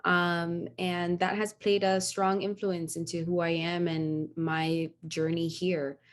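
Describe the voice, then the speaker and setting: Lower-voiced young woman, halting delivery. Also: level tone. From Miami, Florida. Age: young adult. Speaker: panelist, medical student. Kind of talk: informal self-introduction. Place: video call.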